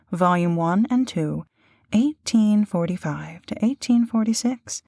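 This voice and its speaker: A woman who works as a voice actor, co-hosting a scripted audio series with a gently teasing, reassuring manner. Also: fairly low pitch, no echo, studio quality. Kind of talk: scripted banter and introduction.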